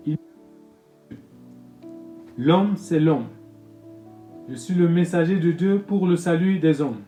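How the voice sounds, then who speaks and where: A man, a pastor reading his own testimony in a solemn, measured way. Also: a little high, mellow. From Congo-Brazzaville. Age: 50s. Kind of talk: read personal testimony. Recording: echoey room with music bed.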